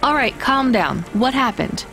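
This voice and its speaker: An actress playing a practical, inquisitive young courier in a video game, talking in a wry, matter-of-fact way. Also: female voice, fairly high pitch, expressive, unhurried, sharp tone, soft background music, heavily acted. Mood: calm, questioning.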